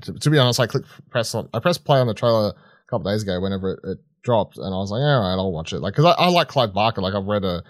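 Rambling, low-voiced man, lively pitch. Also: no echo, clean studio sound. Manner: informal, rambling, slangy. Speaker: podcast host. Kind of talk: casual film review chat.

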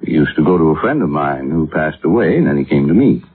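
Educated American doctor, very animated intonation, deliberate delivery, old radio drama, thin phone-like vintage recording. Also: male voice, extremely low pitch, husky, heavily acted. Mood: explaining, measured. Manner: deliberate, reflective, hesitant asides. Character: thoughtful, educated male physician, measured and reflective. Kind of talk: 1950s radio drama acting.

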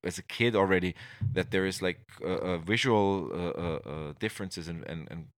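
Middle-aged austrian guy, really low voice, dry close sound, keeps restarting his sentences. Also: normal speed, dry recording. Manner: rambling, many restarts and fillers. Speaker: guest. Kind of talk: conversational interview.

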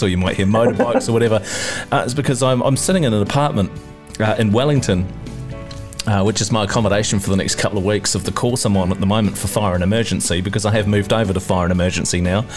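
A young New Zealand man co-hosting a casual chat podcast, talking loosely, laughing often and restarting his words. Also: fairly low pitch. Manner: chatty, laughs often, repeats words when starting. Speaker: podcast co-host. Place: apartment with street traffic.

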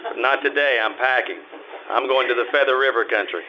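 A man's voice: medium pitch, fairly quick, lightly acted. Wry, world-weary private eye played by a man, sardonic radio-drama delivery, dull and very noisy recording. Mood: reluctant. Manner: sardonic, clipped, dry wisecracking. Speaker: amateur radio troupe actor. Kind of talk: old-time radio drama acting.

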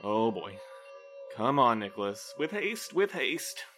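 A man's voice: mid-range voice, sing-song, slow, fairly bright tone, soft background music. A man playing a prim, anxious, well-meaning supervisor in an audio drama, speaking formally and hesitantly, out of his depth. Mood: nervous.

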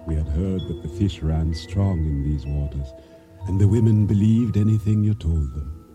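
A man's voice: low-pitched, moderate pace, music in the background, natural voice. An actor playing a wry, reflective middle-aged island fisherman turned storekeeper in a radio drama. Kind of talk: radio drama acting, first-person narration.

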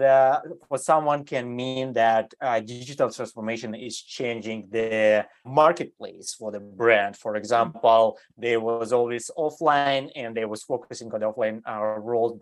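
Interview guest, man, grew up in Germany; mid-pitched, halting English, lots of fillers. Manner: hesitant non-native English, many fillers and restarts. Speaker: design entrepreneur, guest. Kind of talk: interview answers. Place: California.

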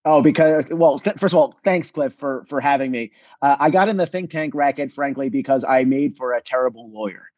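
A man's voice: mid-range voice, fairly quick, darkish tone, no echo, muffled recording. A male policy expert, born in Israel and raised in New England, whose first language is Russian. He answers in a self-deprecating, conversational way with many restarts. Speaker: think tank policy expert, guest.

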